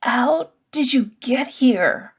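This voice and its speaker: A woman voicing an anxious, earnest boy in an audiobook, speaking in a hushed way. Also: sits high, expressive, takes it slowly, slightly rough, pitched above the performer's own voice, heavily acted. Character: anxious, earnest boy companion bearing grim news.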